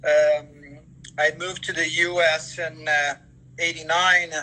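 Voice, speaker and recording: A man in his fifties with a Portuguese accent, giving halting, deliberate interview answers on a livestream call. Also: fairly high pitch, level tone, pushed and bright, rough recording. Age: 50s. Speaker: guest, sports events general manager.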